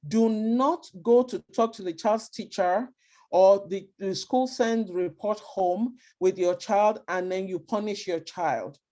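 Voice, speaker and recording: A woman's voice: mid-range voice, takes it slowly, mellow. A woman with a Nigerian accent giving earnest, emphatic advice to parents and repeating her key points. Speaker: speaker advising parents. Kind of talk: advice talk to immigrant parents.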